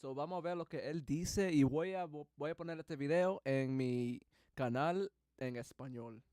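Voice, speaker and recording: A man hosting a livestream, reacting excitedly and switching between English and Spanish, with English as his first language. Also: a little high, measured pace, dry recording, clean studio sound. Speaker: livestream host. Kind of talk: casual reaction commentary.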